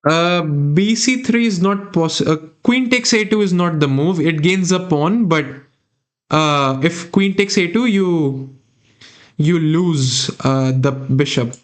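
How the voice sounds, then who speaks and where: A man's voice: fairly high pitch, takes it slowly, clear, resonant tone. Young Indian man streaming chess. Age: young adult. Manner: thinking aloud, chatting with viewers. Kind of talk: live chess commentary. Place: small room indoors.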